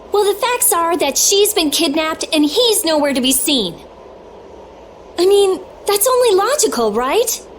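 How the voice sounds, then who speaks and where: A woman voicing an excitable, outspoken, impatient young adventurer in a video game, with emphatic, indignant delivery. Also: very high voice, a little hiss or hum, heavily acted. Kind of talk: dramatic video game voice acting.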